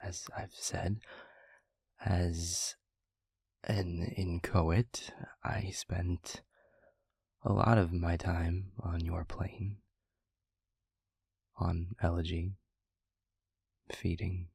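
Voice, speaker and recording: A man acts out a gentle, reflective demon in an intimate audio roleplay. The voice is very breathy and husky, sitting low and staying even, with soft, measured phrases that hesitate as he thinks. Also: dry recording, clean studio sound, full character voice. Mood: reflective, earnest. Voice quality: breathy, husky, half-whispered.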